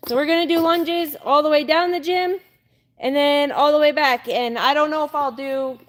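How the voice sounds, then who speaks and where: A woman's voice: high voice, steady tone, normal speed. A woman in her late twenties chatting casually and informally on a live workout stream, often repeating herself. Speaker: livestreamer doing a workout live. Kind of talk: casual livestream chat while exercising. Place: indoor gym. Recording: noisy gym ambience, varying mic distance.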